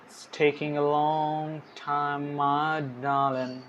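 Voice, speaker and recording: A young man talks softly through a coding session, trailing off into mumbles as he thinks aloud. His voice stays flat and even in pitch. The recording is dull and lo-fi, with a steady hiss underneath. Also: takes it slowly. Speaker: programming tutorial host. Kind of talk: casual screen-recorded coding tutorial. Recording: dull lo-fi mic with clear background hiss.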